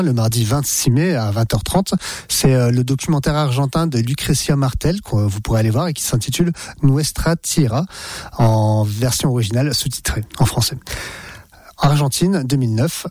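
A man with a slightly husky voice reads the local events agenda on community radio. He sounds relaxed and hesitates often. His voice sits at a middle pitch and is recorded close and dry. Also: no room sound.

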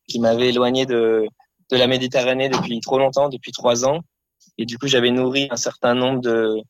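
A man giving a spontaneous personal account in an interview as an activist sailor, halting and reflective as he searches for words. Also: medium pitch, no room sound, rough recording.

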